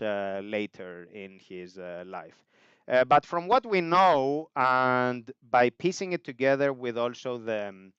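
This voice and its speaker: Greek guy on a livestream q&a, lively up-and-down voice, kinda muffled dry mic. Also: medium pitch, smooth, no room sound. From Greece. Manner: thoughtful, rambling, frequent uh fillers. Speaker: livestream host answering chat questions. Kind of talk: casual livestream Q&A.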